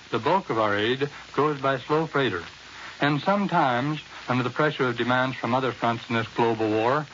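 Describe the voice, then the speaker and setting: An American man giving a formal, measured, scripted war correspondent's report on 1940s radio. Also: medium pitch, fairly bright tone, lo-fi sound. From United States. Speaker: war correspondent, interview guest. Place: New York radio studio. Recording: hissy, band-limited 1940s radio recording.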